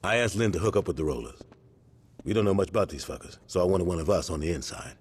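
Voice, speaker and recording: A man's voice: sits low, lively intonation, quick pace, breathy edge. An actor playing a stern, calculating street gang leader, commanding his crew in slang in a video game cutscene. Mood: firm, resolute. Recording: long hall reverb.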